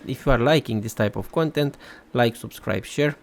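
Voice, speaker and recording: A man's voice: mid-range voice, normal speed, gravelly. A man talking casually as a YouTuber, giving commentary over gameplay. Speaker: YouTuber, game channel host.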